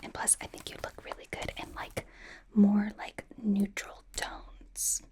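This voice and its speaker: Casting: woman as bubbly young female K-pop idol, slow breathy whisper, gushing personal stylist for ASMR roleplay. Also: medium pitch, monotone, natural voice. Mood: encouraging, attentive. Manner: gushing, reassuring, chatty with trailing repeats.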